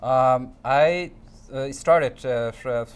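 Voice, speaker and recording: A man's voice: medium pitch, very slow, smooth. A man from Nepal with a Nepali accent, answering interview questions in hesitant non-native English, searching for words. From eastern Nepal. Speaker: interview guest.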